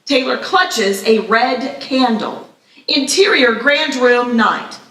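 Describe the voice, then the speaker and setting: A woman with a fairly high voice narrates a screenplay in a scripted read. She sounds slightly distant, in an echoey room. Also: slow, fairly bright tone. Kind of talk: scripted stage-direction reading at live table read.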